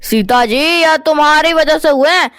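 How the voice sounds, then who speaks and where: A woman's voice: high-pitched, normal speed, a bit bright, clean studio sound. Lady doing a mild young husband in a cartoon story, long-suffering, no room echo. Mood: reproachful. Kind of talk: Hindi cartoon moral-story dialogue.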